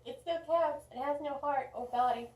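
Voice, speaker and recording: A woman's voice: high voice, moderate pace, darkish tone, lightly acted, rough recording. A woman voicing a bossy, snarky puppet critic, recapping a film in a snappy, sarcastic way. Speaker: puppet show reviewer. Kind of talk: comic movie recap.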